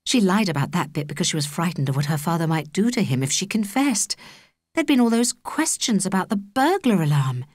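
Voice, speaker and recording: A woman with a British accent narrating a children's audiobook in an expressive, measured storytelling manner. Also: fairly low pitch, no echo, clean studio sound. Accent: British English.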